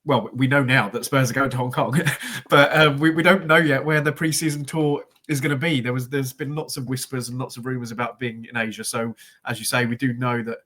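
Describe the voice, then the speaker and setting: A man's voice: medium pitch, fairly even intonation, brisk, hardly any pauses, pushed and bright. Bunged-up man, chatty. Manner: chatty, rambling, full of ums. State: congested with a cold. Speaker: podcast co-host. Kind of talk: casual football podcast chat.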